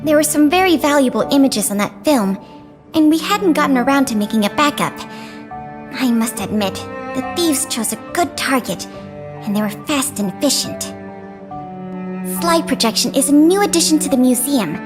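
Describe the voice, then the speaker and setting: A woman's voice: sits high, lively intonation, moderate pace. A woman voicing a polite, earnest young female museum guide and investigator in a video game, speaking courteously and carefully. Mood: earnest, concerned. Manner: courteous, bookish, careful explaining. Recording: music behind the voice.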